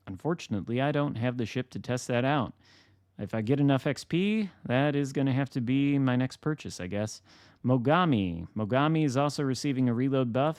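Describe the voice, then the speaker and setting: Guy going thru game patch notes, casual n a bit skeptical, medium pitch, no room echo. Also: a little mellow, studio quality. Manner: casual, mildly skeptical asides. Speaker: gaming video host. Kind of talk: reading game patch notes aloud with offhand commentary.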